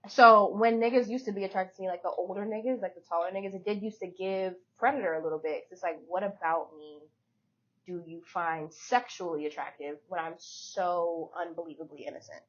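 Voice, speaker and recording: Light-voiced young woman, animated. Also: fairly low pitch, brisk. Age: 20s. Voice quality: light, feminine voice. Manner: animated, emphatic storytelling. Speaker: podcast co-host. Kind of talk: casual chat. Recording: dull lo-fi podcast audio.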